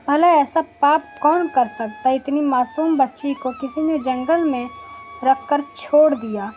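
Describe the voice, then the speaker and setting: Woman as a simple kind fisherman in a village tale, earnest, high voice, music under it. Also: darkish tone.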